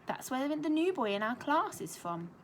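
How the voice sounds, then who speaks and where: A woman's voice: high-pitched, sing-song, moderate pace, slight background noise, pitched above the performer's own voice. A woman doing an eager, curious young child.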